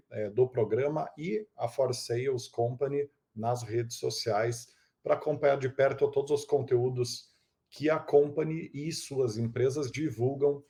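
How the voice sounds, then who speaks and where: A man's voice: mid-range voice, gravelly, dark tone. A man hosting an interview podcast, reading a fluent opening and then chatting with his guest. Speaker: host.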